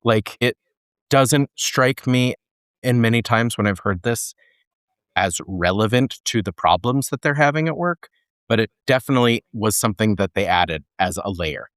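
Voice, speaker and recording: A man with a very deep voice muses out loud on a podcast, working through his thoughts with loose everyday fillers. The sound is dry and close. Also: normal speed, smooth, dry recording. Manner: reflective, with fillers like "you know" and "like". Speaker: podcast co-host. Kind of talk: casual discussion.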